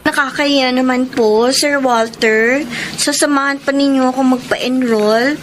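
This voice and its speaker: A woman's voice: high-pitched, fairly even intonation, unhurried, faint music behind. A woman with a Filipino accent playing a shy, respectful young housemaid and hopeful student in a radio drama, polite and hesitant. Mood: bashful, flustered.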